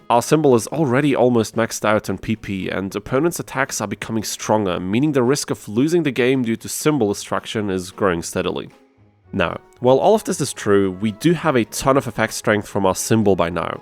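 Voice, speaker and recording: A man's voice: mid-range voice, darkish tone. A man hosting a gaming video, narrating a challenge run from a script with conversational, self-deprecating asides. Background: music under the voice.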